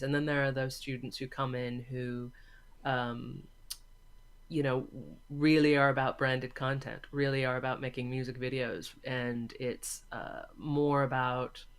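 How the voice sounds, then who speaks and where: Emphatic woman chatting as interview guest. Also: a bass voice. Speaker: interview guest, teacher of storytelling students. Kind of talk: casual interview conversation.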